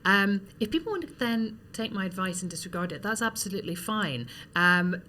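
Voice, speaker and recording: A Scottish woman in her forties, a guest telling her story in a relaxed, chatty way with many ums. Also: mid-range voice, brisk, a little hiss or hum. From Scotland.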